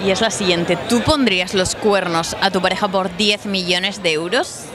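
A woman's voice: mid-range voice, fairly even intonation, normal speed. A woman in her twenties with an accent from Spain, doing casual street interviews on a handheld mic. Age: 20s. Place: city sidewalk at night, outdoors. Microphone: handheld microphone. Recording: busy night street, crowd noise.

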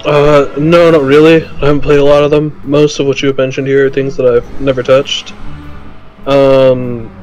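A man's voice: mid-range voice, level tone, moderate pace, darkish tone, faint music behind. A man chatting casually about video games as a podcast guest, on a lo-fi voice call. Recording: dull lo-fi voice chat.

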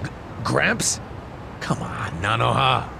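An actor playing an earnest, gruff middle-aged man in a video game, speaking hesitantly with a gravelly voice. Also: medium pitch, slow pace, background noise. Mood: baffled. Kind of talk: English video game cutscene dub. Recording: music behind the voice.

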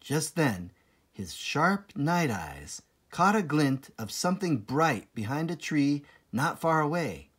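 A man narrating a children's storybook aloud in a dramatic, deliberate manner with suspenseful pauses. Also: a little high, very animated, fairly bright tone, husky. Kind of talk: expressive children's storybook reading.

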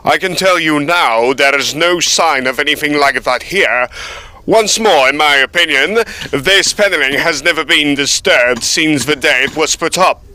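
A man's voice: fairly high pitch, a little slow, sharp tone. An actor playing a respectful, matter-of-fact tradesman in an audio drama, blunt and sure of his craft.

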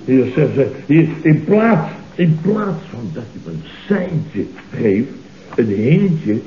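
An actor playing a panicky, self-important boss in a Dutch radio comedy, stammering and repeating words emphatically, with a Netherlands accent. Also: male voice, medium pitch, sing-song, slow pace. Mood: indignant. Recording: dull, noisy, roomy old radio recording.

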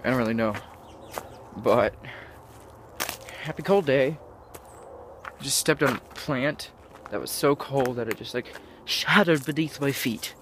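A man's voice: medium pitch, a little slow, a bit bright, a little hiss or hum. Nasal stuffy-nosed teen guy vlogging. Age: teens. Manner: rambling, self-mocking, jokey. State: congested sinuses. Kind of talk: casual selfie vlog. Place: outdoors in cold woods, then indoors. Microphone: phone at arm's length.

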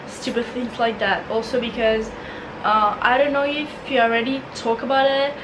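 Italian girl around 20 chatting to her camera from her bedroom, repeats words a lot, kinda high voice, noisy background. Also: fairly even intonation, moderate pace. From Italy. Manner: chatty, restarts and repeats words, non-native phrasing. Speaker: vlogger. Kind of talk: casual Q&A chat. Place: bedroom, indoors.

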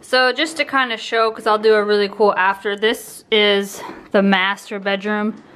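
A young adult woman casually walking through a house under renovation on a handheld phone, talking in a relaxed, rambling way with restarts. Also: mid-range voice, normal speed, clean tone. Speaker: vlogger giving a home tour. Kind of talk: casual walkthrough narration. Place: indoors, empty rooms of a house under renovation. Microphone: handheld phone camera.

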